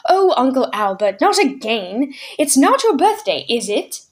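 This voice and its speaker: A woman's voice: high voice, very animated, moderate pace, pitched above the performer's own voice. A woman voicing a prim, bossy nanny who speaks sharply and crossly. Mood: stern. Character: prim, stern young nanny.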